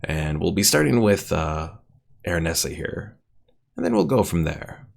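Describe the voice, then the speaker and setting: A man's voice: low-pitched, big pitch swings, moderate pace, vocal fry. A man hosting a gaming stream with casual, easygoing commentary and some reading aloud. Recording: faint background noise.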